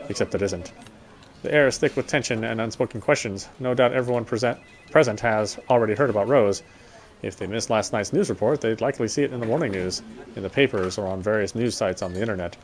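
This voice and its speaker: A man reading a visual novel's text aloud as dramatic narration, solemn and a little halting. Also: on the low side. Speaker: game player reading story text aloud.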